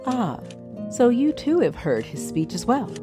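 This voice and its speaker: A woman's voice: fairly high pitch, big pitch swings, a little slow, over music. An actress playing a poised, wry noblewoman of a wizard's tower in a fantasy audio drama. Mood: wry, amused. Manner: dry, amused, composed.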